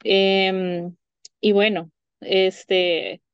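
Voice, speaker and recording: A woman's voice: medium pitch, little pitch movement, drawn-out words, smooth, dry recording, highs cut off. A woman in her thirties, a psychologist, chatting in an interview over a video call, with lots of fillers and restarts. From Honduras. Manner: chatty, many fillers and restarts, laughs often. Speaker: psychologist guest. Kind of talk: casual interview conversation. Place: home room by a window. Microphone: video call webcam.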